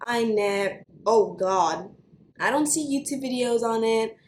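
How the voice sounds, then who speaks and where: A young woman with a New York accent, ranting to camera for her vlog in an animated, chatty way with plenty of "like". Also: fairly high pitch, roomy sound, slight background noise. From United States. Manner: animated, fast, chatty with many likes. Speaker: beauty vlogger.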